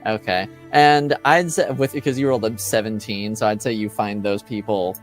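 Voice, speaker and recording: A man running a tabletop game as game master, narrating haltingly with many ums and uhs. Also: on the low side, fairly even intonation, faint music behind.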